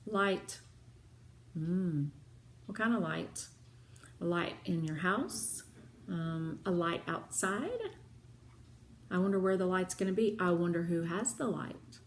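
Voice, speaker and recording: A woman reading a children's storybook aloud in an expressive, dramatic manner, with pondering pauses. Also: on the low side, a little hiss or hum. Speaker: read-aloud narrator of children's books.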